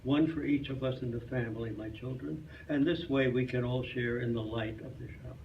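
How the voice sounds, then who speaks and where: A man's voice: medium pitch, fairly even intonation, fairly quick, slight background noise. A man reading a folk tale aloud as a storyteller at a live club performance. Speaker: storyteller at a club reader's theater. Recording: echoey, muffled, distant live room recording.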